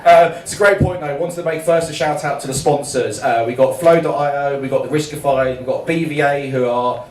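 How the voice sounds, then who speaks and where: A man's voice: mid-range voice, steady tone, brisk. A man moderating a panel in a chatty, joking, self-deprecating way. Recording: echoey room, distant mic.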